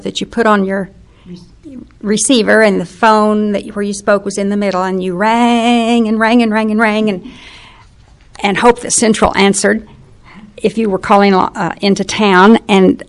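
An older woman from western Oklahoma reminiscing in an interview, chatty and sometimes searching for words. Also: medium pitch.

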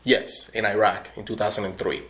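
A middle-aged man from Puerto Rico, an army veteran telling his story in an oral history interview, speaking in a measured way with many pauses. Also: fairly low pitch, little pitch movement, sharp tone.

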